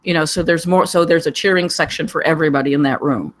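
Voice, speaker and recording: A panelist giving digressive, halting spoken feedback on a script, wandering from point to point. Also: medium pitch. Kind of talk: rambling spoken critique.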